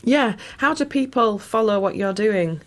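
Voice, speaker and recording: A woman's voice: mid-range voice, lively intonation, measured pace. A woman interviewing a guest on the radio in a conversational way, as the host of the programme.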